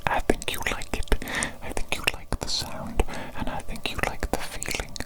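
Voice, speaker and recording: Whispering woman in an ASMR doctor roleplay. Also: rough recording. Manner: slow, soothing, repeats words and phrases. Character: playful, doting evil doctor and scientist.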